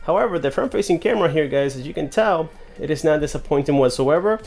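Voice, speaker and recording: A man's voice: a little high, animated, fast talker, creaky, a little mellow, faint music behind. A man giving a casual, chatty phone review to his viewers at a desk indoors. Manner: chatty, addresses viewers as guys. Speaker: tech reviewer. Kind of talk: casual product demo.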